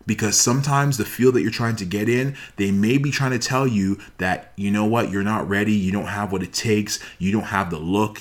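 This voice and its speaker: A man with a fairly low voice delivers a motivational talk. He speaks emphatically, circling back to key phrases and repeating them to press them on the listener.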